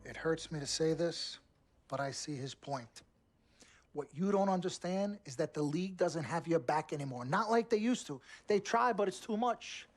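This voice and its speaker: A high-pitched sports agent in a game cutscene, slick and proud. He negotiates assertively in a naturalistic dramatic style, with music playing behind him. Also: lively intonation, normal speed. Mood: regretful. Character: slick, proud male sports agent defending his client.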